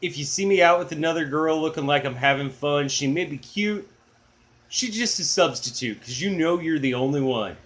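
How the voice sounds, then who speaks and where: Middle-aged male music vlogger, booming low baritone, chatty, self-mocking. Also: moderate pace, fairly bright tone. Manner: rambling, enthusiastic, self-deprecating. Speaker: music channel host. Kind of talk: casual chatty video blog.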